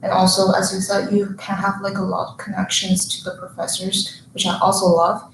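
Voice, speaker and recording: A woman's voice: mid-range voice, completely flat delivery, brisk, creaky. A young woman from China, a junior student chatting easily on a panel with lots of fillers. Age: young adult. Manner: easygoing, many "like" fillers. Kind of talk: casual panel chat. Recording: roomy with faint hiss.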